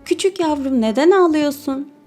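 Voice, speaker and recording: A woman's voice: sits high, animated, normal speed, darkish tone. Lady as cartoon animal mama, tender and soothing, encouraging, music in back. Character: tender, encouraging animal mother of a little one. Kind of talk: children's cartoon voice acting.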